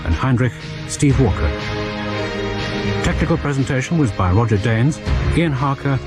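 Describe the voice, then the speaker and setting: A male announcer with a mid-pitched voice reads scripted credits formally. Loud music plays beneath him, as at the close of a radio broadcast. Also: lively intonation, normal speed. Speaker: closing announcer.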